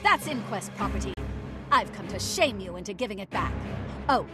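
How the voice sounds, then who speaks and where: A woman's voice: medium pitch, lively intonation, moderate pace, music in the background, close to an everyday voice. A woman playing a haughty, menacing gnome-like villain scientist in a video game, indignant and theatrical. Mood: indignant, threatening. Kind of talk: video game cutscene acting.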